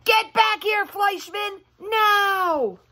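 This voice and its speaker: A woman's voice: very high-pitched, drawn-out words, sharp tone, voice pushed up, heavily acted. A woman voicing a bossy, fierce young girl from a children's storybook, demanding and indignant. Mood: furious yelling.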